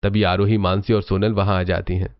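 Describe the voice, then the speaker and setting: Male narrator, scripted storytelling, lowish pitch, slightly muffled recording. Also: flat delivery, a little fast.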